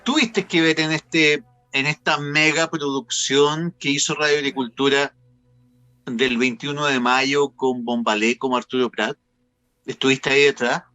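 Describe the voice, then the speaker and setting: A man's voice: mid-range voice, steady tone, a little slow, pushed and bright, no echo, lo-fi sound. A Chilean man hosting a casual interview show, chatty, playful and teasing with his guest. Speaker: talk show host and interviewer.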